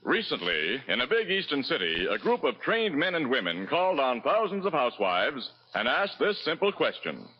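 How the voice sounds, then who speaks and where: A man reading a scripted 1940s radio commercial as a measured, emphatic announcer. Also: medium pitch, huge pitch swings, husky. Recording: muffled vintage radio recording.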